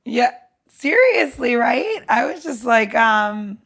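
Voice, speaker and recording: A woman chatting casually as a guest chef, telling stories in a blunt, excitable, sweary way. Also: fairly high pitch, slow, husky. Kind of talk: casual chat, storytelling.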